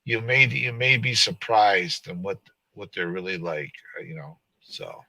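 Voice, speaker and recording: A man's voice: low-pitched, lively intonation, measured pace, gravelly, bright, forceful tone, no echo, low-quality recording. A man hosting a casual live stream, rambling and joking with his viewers and a co-host. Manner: rambling, joking, laughs often.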